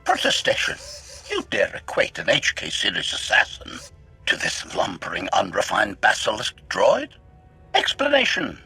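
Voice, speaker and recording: An actor playing an arrogant, sardonic assassin droid in a video game, with formal, clipped, condescending phrasing and a robotic filter. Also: male voice, on the low side, huge pitch swings, normal speed, sharp tone, breathy edge, soft background music, full character voice. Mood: scornful. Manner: announces each sentence type, formal and clipped, condescending. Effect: robotic metallic voice filter.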